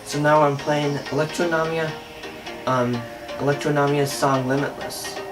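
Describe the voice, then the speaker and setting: A man's voice: fairly high pitch, fairly even intonation, normal speed. Casual man reviewing gear, distant mic. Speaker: product reviewer. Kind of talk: casual unscripted product demo. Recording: distant mic, faint music at times.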